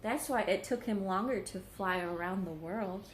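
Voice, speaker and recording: A woman reading a children's story aloud as a teacher, expressive, putting questions to young listeners. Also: medium pitch, normal speed, slight background noise. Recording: roomy echo on the voice.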